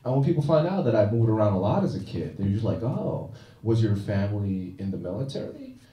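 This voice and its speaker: A man's voice: lowish voice, big pitch swings, fast, dark tone, roomy sound, far from the mic, rough recording. A man doing a stand-up comedy set with a dry, self-deprecating delivery and frequent "uh" restarts, recorded on a comedy club stage. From China.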